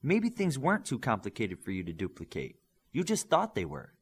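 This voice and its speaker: A man's voice: mid-range voice, wildly swooping pitch, fairly quick, slightly rough. A man voicing a self-assured young female superhero who coaches and explains in an audiobook. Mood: explaining, confident.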